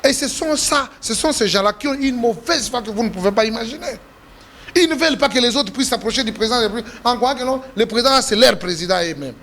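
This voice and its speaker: Emphatic Congolese man, high and heated. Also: expressive, fast, a bit bright, slight background noise. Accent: Congolese French. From Democratic Republic of the Congo. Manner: emphatic, repeats himself, pitch jumps when indignant. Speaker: politician guest. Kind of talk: heated political interview.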